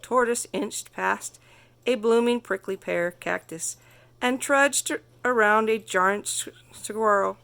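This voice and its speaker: A woman reading a children's story aloud as narrator, expressive and carefully phrased. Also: mid-range voice, slow, gravelly. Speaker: storybook reader.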